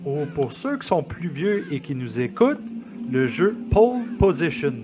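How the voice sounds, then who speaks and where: A man in his forties with a Québécois accent trades wry, quick jokes as co-host of a bad-movie podcast. His voice sits a little high. The movie's music plays clearly behind him. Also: sing-song. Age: 40s. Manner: wry, joking, quick asides. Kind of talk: casual comedic movie riffing. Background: movie clips playing.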